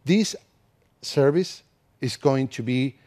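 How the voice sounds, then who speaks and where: A man's voice: mid-range voice, slow pace. A man in his thirties from Argentina, with an Argentine Spanish accent, giving a conversational conference talk with frequent restarts. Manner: relaxed, conversational, with many restarts. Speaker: conference speaker. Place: conference hall, indoors.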